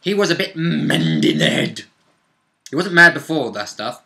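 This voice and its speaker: A man of middling pitch speaks with a very bright, sharp edge to his voice. He chats casually as a player and co-host, recapping a game session. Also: wildly swooping pitch, moderate pace.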